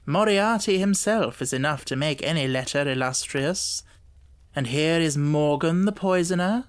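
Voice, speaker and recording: A man's voice: fairly high pitch, a bit bright, clear, resonant tone, lightly acted. A man voicing a keen, self-assured Victorian detective with an emphatic, lecturing manner in an audiobook.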